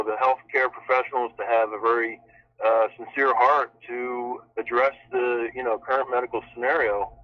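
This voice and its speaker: Male caller giving an appreciative, rambling testimonial, medium pitch, close dry sound on a muffled phone. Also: no echo. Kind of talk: spontaneous testimonial on a public call. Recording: muffled, dry telephone line.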